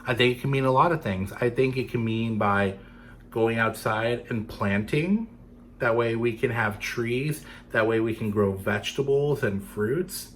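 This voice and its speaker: A man's voice: mid-range voice, a bit bright. Man reading a children's story, faint background noise. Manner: dramatic, deliberate phrasing, addressing young listeners. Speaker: storyteller for children's theatre series.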